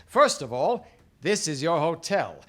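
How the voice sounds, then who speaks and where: An actor playing a self-assured, accusing 1940s gumshoe detective in a live radio play, with a declamatory delivery. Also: male voice, on the high side, very animated, a little slow. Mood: smugly deducing. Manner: theatrical, declamatory. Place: theatre stage before a live audience.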